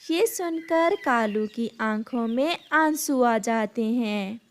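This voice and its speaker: A woman's voice: on the high side, expressive, slow. A woman narrating a scripted cartoon story as its storyteller.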